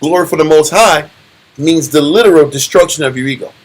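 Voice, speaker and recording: A middle-aged man leading a Bible study, teaching in an animated, preachy way and often repeating himself. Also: fairly high pitch, brisk, low-quality recording.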